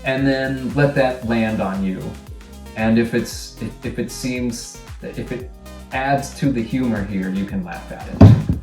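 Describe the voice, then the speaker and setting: Slow, halting man directing from across a room. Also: mid-range voice. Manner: halting, thinking aloud, acts out sample lines. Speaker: director giving actors notes. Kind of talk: informal rehearsal notes. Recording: roomy, distant mic, faint music.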